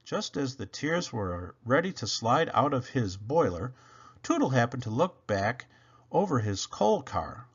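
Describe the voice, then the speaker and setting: Expressive, slow-paced male storybook reader. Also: mid-range voice, breathy edge, dull audio. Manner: expressive, dramatic emphasis with drawn-out words. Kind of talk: children's read-aloud narration.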